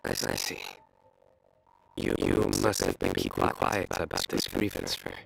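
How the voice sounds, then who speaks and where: A man voicing a solemn young adventurer in an anime-style game, deadpan, deliberate and pedantic, earnest even about bad jokes. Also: deep voice, vocal fry, very noisy, full character voice. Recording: faint music behind.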